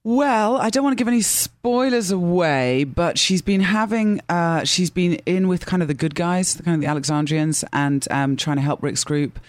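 A woman's voice: lowish voice, moderate pace, hardly any pauses, no room sound. A woman from Scotland with a British accent, chatting playfully as a guest in a radio studio, joking and laughing easily. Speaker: guest actress. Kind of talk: casual interview chat. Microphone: studio microphone.

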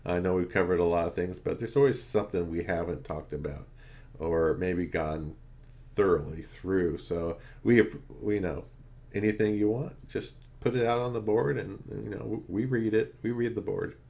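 A man's voice: low voice, moderate pace, gravelly, dark tone, highs cut off. A man co-hosting a casual podcast chat, rambling and restarting his sentences. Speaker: podcast co-host.

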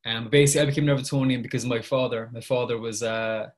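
Fast-talking young Cork Irishman. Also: medium pitch, steady tone. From Ireland. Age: 20s. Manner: chatty, informal, peppered with "like". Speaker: guest journalist. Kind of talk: casual podcast chat.

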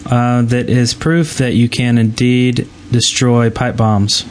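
A man's voice: mid-range voice, flat delivery, takes it slowly, a little hiss or hum. A man hosting a gaming podcast in an informal, conversational style. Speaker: podcast host. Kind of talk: casual chat about gaming.

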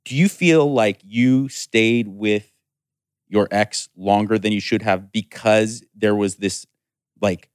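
A man co-hosting a podcast, asking long, halting interview questions. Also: lowish voice, clear, resonant tone, dry recording, studio quality. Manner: halting, searching for words. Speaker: podcast co-host.

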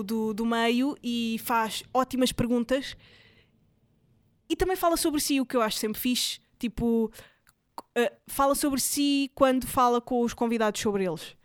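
A woman's voice: a little high, clear, resonant tone, no echo, studio quality. A woman with a European Portuguese accent, hosting a podcast and chatting casually, rambling with lots of fillers and English words. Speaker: podcast host. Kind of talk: casual chat recommending videos.

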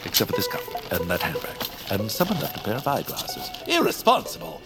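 Pompous, wildly expressive villain baron. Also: male voice, lowish voice, fairly quick, over music. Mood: fussy indignation. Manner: pompous, grandiose, self-dramatizing. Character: pompous scheming villain baron, theatrical and fussy. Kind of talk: audio fiction cartoon-like villain acting.

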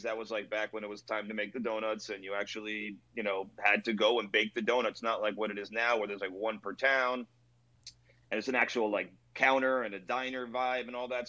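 A man from Maryland, a restaurant owner, telling stories in a relaxed, rambling way with lots of fillers during an interview. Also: lowish voice, dull audio. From Rockville, Maryland, near Washington D.C. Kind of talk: casual interview over video call. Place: his own themed bar.

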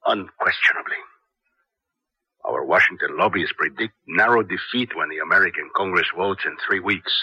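Deep, raspy, stern Latin colonel. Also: male voice, pushed and bright, heavily acted. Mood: scheming confidence. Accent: Spanish. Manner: measured, commanding. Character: stern, scheming male army colonel. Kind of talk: 1970s radio drama acting. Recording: dull lo-fi old radio broadcast.

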